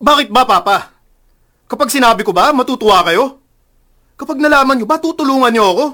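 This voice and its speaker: Very high-pitched, defensive young son. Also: male voice, lively intonation, fairly quick, pushed and bright, full character voice. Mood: defensive, hurt. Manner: defensive, protesting. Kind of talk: radio drama acting.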